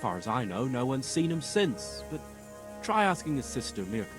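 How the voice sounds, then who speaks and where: Male actor as blunt obliging villager, medium pitch, very varied intonation, game cutscene, music behind. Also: big pitch swings, moderate pace, natural voice. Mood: helpful. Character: helpful, blunt village workman. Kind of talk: video game cutscene acting.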